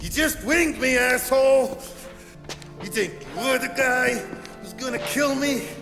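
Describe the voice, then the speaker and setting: A vicious, arrogant crime boss for a video game. His strained voice is very high and creaky, sneering in slow, gloating phrases over loud music. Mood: furious, taunting. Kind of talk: cinematic crime game acting.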